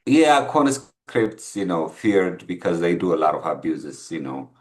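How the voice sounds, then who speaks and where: A middle-aged man with an Ethiopian accent, talking casually in an interview in a halting, reflective, conversational way. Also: sits low. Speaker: podcast guest, entrepreneur. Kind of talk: casual interview, telling life story.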